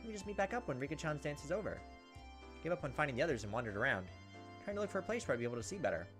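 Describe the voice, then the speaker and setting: A man's voice: fairly high pitch, sing-song, racing, over music. A man reading a visual novel aloud as a let's play host, with casual, crude joking asides.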